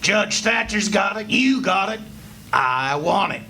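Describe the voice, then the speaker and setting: A man's voice: high voice, lively intonation, sharp tone, heavily acted. A man acting a mean, drunken, greedy father in a live radio play, taunting and domineering. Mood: angry, demanding. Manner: taunting, domineering, drawn-out sneering. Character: mean, drunken, greedy backwoods father bullying his son. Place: live performance before an audience. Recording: noisy live stage recording.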